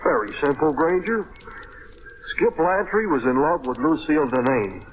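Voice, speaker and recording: An actor playing a teasing police lieutenant in a vintage radio crime drama, on a noisy old recording. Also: male voice, a little high, big pitch swings, normal speed. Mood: explaining.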